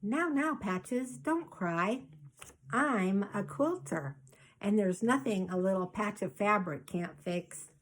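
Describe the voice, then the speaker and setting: A woman voicing a kind older woman quilter, gentle and reassuring. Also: mid-range voice, expressive, slow pace, rough recording. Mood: soothing.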